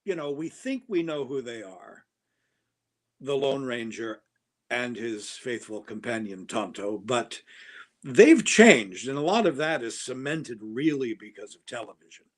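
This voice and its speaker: A man's voice: mid-range voice, animated, unhurried. A man talking as a guest in a casual podcast chat, rambling and enthusiastic, with many fillers and restarts. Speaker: guest actor.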